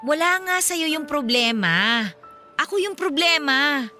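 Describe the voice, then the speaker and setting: A woman's voice: sits high, lively intonation, measured pace, pushed and bright, soft background music, exaggerated voice. An actress playing a weary, guilt-ridden young girlfriend, exasperated and apologetic, in a radio drama. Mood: guilty, apologetic.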